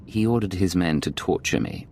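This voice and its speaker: Very deep, cool male secret agent. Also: expressive, normal speed, vocal fry, a little mellow, a little hiss or hum. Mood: indignant, demanding. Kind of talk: video game voice acting.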